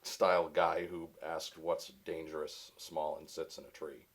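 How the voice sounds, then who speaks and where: A voice actor with a very deep, resonant voice, answering questions in a casual interview with dry wit. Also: male voice, little pitch movement, unhurried.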